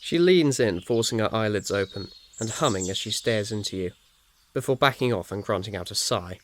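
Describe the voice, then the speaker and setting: A man with a fairly low voice narrates a scripted story in the second person, made for ASMR listening. Faint noise of birds and crickets runs behind his reading. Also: lively intonation, normal speed.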